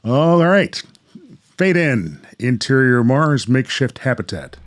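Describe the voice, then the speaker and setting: A man's voice: mid-range voice, sing-song, slow, a little hiss or hum. A man narrating a scripted comedy radio play, reading scene headings and story as narrator.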